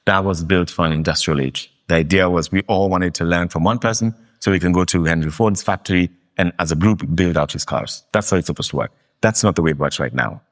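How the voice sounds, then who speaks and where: A man from Kenya, a guest in a fireside interview, telling stories in an animated, emphatic way. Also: low-pitched, fast talker, few pauses. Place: live meetup venue.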